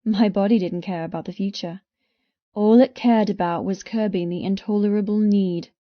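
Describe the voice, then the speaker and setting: A woman reading first-person romance fiction as an audiobook narrator, with intense, expressive delivery. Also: mid-range voice, moderate pace, dry recording, lo-fi sound.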